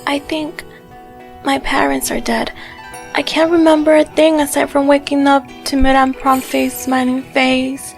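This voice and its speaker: High-voiced feisty girl played by a woman. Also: little pitch movement, a little slow, creaky, faint music behind. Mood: doubtful, sad. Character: feisty, weary young witch girl, guarded and indignant. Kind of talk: fan audio drama acting.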